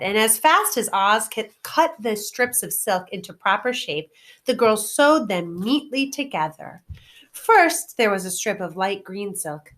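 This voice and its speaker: Animated woman reading a children's story. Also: medium pitch. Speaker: elementary teacher reading aloud.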